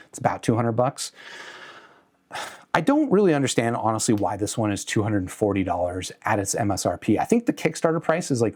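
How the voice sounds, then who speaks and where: A man with a medium-pitched voice hosts an unscripted product review. He talks in a conversational way, qualifying his points and correcting himself along the way. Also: a little mellow. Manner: conversational, hedging, self-correcting. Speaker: host of an audio gear review channel.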